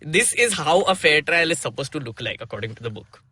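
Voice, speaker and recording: A man's voice: a little high, lively intonation, fairly quick, bright, forceful tone, slightly rough. A man with an Indian accent explaining things in casual discussion as a podcast co-host, often checking in with "right?". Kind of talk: casual explanatory discussion, reading bits aloud.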